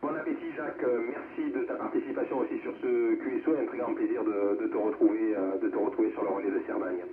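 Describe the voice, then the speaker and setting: Un homme qui anime un réseau radioamateur de façon décontractée et courtoise, en cherchant parfois ses mots. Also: male voice, fairly low pitch, fairly even intonation, fast talker, hardly any pauses. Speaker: amateur radio net controller. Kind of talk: casual amateur radio net hosting. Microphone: heard through a radio receiver speaker. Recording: narrowband radio with noise and reverberation.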